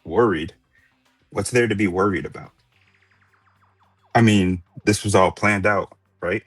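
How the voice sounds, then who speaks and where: Laid-back slacker a man acting, deep voice, breezy, slangy, music underneath. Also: measured pace, husky, no echo. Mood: easygoing. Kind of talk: amateur anime game fan dub.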